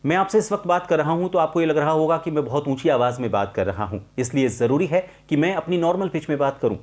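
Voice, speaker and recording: A man presenting an instructional tutorial as a voice coach, explaining in a measured, emphatic way and repeating key points. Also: a little high, lively intonation, a little mellow.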